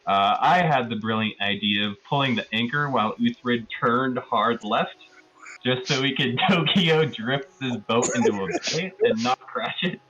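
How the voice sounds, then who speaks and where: A man's voice: mid-range voice, moderate pace, sharp tone, lo-fi sound. A man giving a casual, rambling and amused recap of a tabletop roleplaying session as one of the players.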